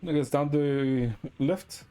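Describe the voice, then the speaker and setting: A man's voice: mid-range voice, fairly even intonation, takes it slowly, warm tone, slight background noise. A man giving casual gameplay commentary, muttering short reactions to himself as he goes. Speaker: game streamer. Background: game music.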